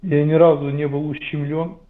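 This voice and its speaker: A man's voice: on the high side, flat delivery, normal speed. Insistent man over tinny video call. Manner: insistent, explaining. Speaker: video chat guest. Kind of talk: casual debate. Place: small room indoors. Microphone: headset.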